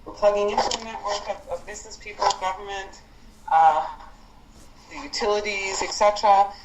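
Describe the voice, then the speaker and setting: A woman's voice: medium pitch, moderate pace, a little hiss or hum. Woman instructor on a roomy conference call. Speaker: programme leader and instructor. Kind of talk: informal conference-call discussion.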